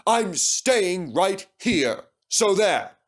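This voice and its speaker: A man's voice: sits high, sing-song, drawn-out words, fairly bright tone, voice pushed up, exaggerated voice. A man voicing a vain, stubborn male steam engine in a storybook, refusing in a petulant, emphatic way.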